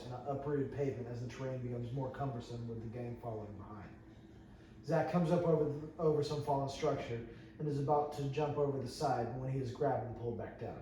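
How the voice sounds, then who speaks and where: A man's voice: medium pitch, warm tone. A man reading screenplay stage directions aloud as narrator at a table read, stumbling and repeating phrases. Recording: echoey room, far from mic, faint noise.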